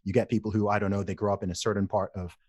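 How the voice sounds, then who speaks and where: A man's voice: on the low side, flat delivery, very fast, a little mellow, dry recording. A man in his thirties from England, a podcast guest who tells stories animatedly, repeats phrases and laughs easily. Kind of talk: casual interview conversation.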